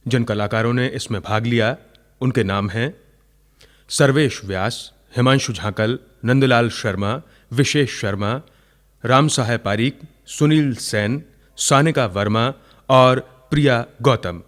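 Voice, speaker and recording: A man's voice: mid-range voice, unhurried, in a large hall. A man reading closing credits as a radio announcer in a formal, scripted style.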